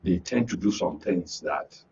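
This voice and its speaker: A man with a Nigerian accent giving his view as a guest on a political panel, speaking in a hesitant, halting way. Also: low-pitched, creaky, a little mellow, husky. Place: radio and TV studio. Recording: distant mic, muffled, noisy background.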